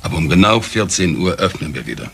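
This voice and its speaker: An actor playing a scornful, indignant older uncle in a German crime radio play, with an emphatic way of talking. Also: male voice, low-pitched, very animated, fast talker, gravelly, slightly rough, full character voice. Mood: guarded, resentful. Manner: emphatic, indignant rhetorical questions.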